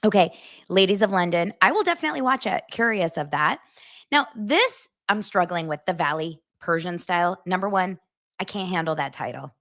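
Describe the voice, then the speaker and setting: A woman's voice: lowish voice, animated, moderate pace, a bit bright. Giggly chatty woman podcast host. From United States. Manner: chatty, giggly, self-mocking. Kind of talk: casual pop-culture chat.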